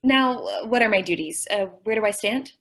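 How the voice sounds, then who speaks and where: A woman's voice: medium pitch, expressive, moderate pace, a bit bright. A woman playing a ditzy, cheerful and naive young working woman in old-time radio comedy style. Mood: flustered. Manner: naive, earnest, mangles what she means to say.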